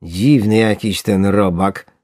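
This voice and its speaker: A storyteller voicing a bewildered, flustered male angler from a storybook, muttering to himself and trying to stay calm. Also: deep voice, a little slow, breathy edge, no echo, clean studio sound, heavily acted. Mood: puzzled relief. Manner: muttering, self-soothing.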